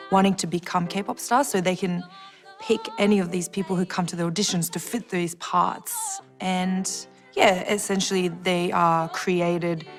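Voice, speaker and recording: A woman explaining things in a casual interview, in a reflective way. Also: lowish voice. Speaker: singer, interviewee.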